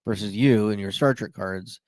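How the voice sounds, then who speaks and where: A man's voice: on the low side, normal speed, darkish tone, no room sound, clean studio sound. A man co-hosting a podcast in casual chat, eagerly explaining how a card game works. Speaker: podcast co-host.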